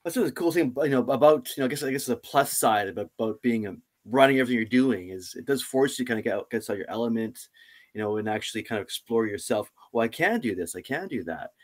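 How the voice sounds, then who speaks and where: A man's voice: mid-range voice, quick pace. A middle-aged man hosting a casual music interview over a video call, effusive and jovial. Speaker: host of music interview show.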